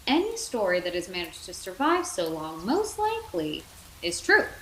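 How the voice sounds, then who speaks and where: A woman's voice: lowish voice, wildly swooping pitch, normal speed, fairly bright tone. A woman voicing a stately, learned male plush toy in a storybook, formal and pompous. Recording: roomy echo, background noise.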